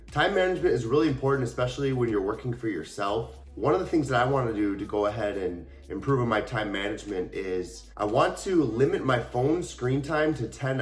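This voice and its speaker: A man's voice: mid-range voice, roomy sound. A young adult man, a fitness coach, talking casually and earnestly to his viewers with a stuffy voice from a cold. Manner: earnest, explanatory, addresses viewers directly. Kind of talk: casual talk to camera.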